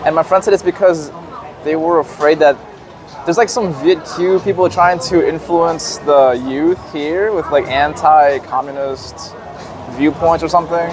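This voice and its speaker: A man from Virginia in the USA hosting a casual interview and asking questions in a relaxed, curious way. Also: on the high side, unhurried, background noise. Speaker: interview host. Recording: outdoor crowd chatter behind.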